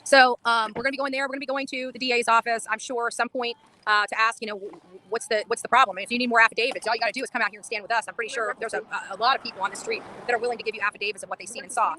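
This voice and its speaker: A woman's voice: a little high, fairly even intonation, rapid-fire, hardly any pauses, sharp tone, slight background noise. A woman livestreaming an impassioned, insistent monologue outdoors on a street, repeating phrases for emphasis.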